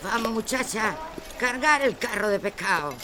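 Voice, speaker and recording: An actress playing a resolute working-class protest leader in a Spanish radio drama. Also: female voice, a little high, animated, moderate pace, bright, forceful tone, a little hiss or hum. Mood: commanding.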